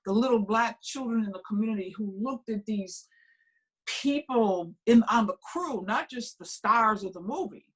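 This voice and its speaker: A woman speaks on a panel discussion with an emphatic, animated delivery. She tells her story with energy, leaning on each point. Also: on the high side, normal speed, husky, low-quality recording. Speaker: film crew veteran panelist.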